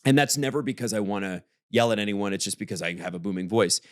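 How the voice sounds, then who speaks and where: A man in his thirties from Canada with a booming voice, co-hosting a podcast in casual, animated chat. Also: quick pace, no echo, studio quality. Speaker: podcast co-host.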